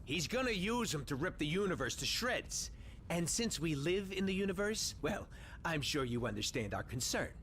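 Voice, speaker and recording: A man's voice: a little high, big pitch swings, normal speed, noisy background. An actor playing an irreverent, sarcastic male mercenary in a video game, speaking in a joking, hyper way. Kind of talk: video game cutscene acting.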